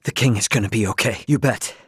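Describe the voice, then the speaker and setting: A man's voice: lowish voice, quick pace, fairly bright tone, husky, no echo, clean studio sound. An actor playing a brash, informal young starship captain, a cocky but loyal man, in a video game. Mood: reassuring, confident. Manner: informal, slangy, plain-spoken. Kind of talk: English game dub character dialogue.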